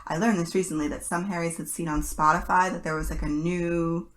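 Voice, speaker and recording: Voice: mid-range voice, flat delivery, brisk, fairly bright tone. A podcast host chatting casually and enthusiastically, in a gushing fan style.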